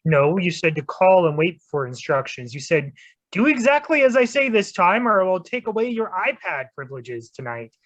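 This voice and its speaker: A woman's voice: lowish voice, sing-song, moderate pace, lo-fi sound. A woman playing a villain's flustered, literal-minded henchperson in a staged play reading. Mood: defensive.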